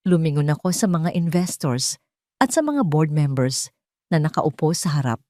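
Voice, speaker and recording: Female audio drama narrator, lower-middle register, emotive and deliberate, very dry recording. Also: big pitch swings, dark tone, no room sound. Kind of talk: dramatic first-person scripted narration.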